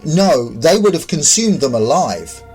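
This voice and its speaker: A man's voice: fairly high pitch, sing-song, unhurried, darkish tone, faint music behind. A man voicing an ancient, patient otherworldly visitor who explains things in a sci-fi audio story. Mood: grave.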